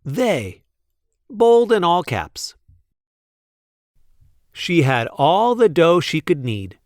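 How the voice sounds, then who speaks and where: Male storyteller for a picture book: lively, swooping intonation, unhurried delivery, playful emphasis, close dry sound. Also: fairly high pitch, dry recording. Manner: deliberate, playful emphasis on repeated sentences. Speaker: read-aloud storyteller. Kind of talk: expressive picture book read-aloud.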